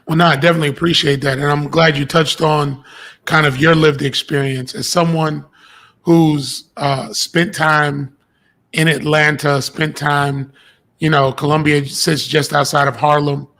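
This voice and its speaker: A man with an American accent hosts a political interview podcast. His voice sits a little high and has a clear creak to it, and he builds his questions thoughtfully, piece by piece. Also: little pitch movement, unhurried, fairly bright tone. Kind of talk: conversational interviewing.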